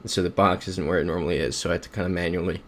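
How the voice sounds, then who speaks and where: Upbeat man streaming, low voice. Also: fairly even intonation, very fast, fairly bright tone. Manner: upbeat, thinks aloud, reads chat messages. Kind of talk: casual livestream commentary.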